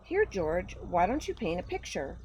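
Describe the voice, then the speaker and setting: A woman voicing a friendly, excitable male art teacher from a children's storybook, talking expressively to a young pupil. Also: on the low side, moderate pace, slight background noise, rough recording. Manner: exclaiming, expressive, speaking kindly to a young pupil.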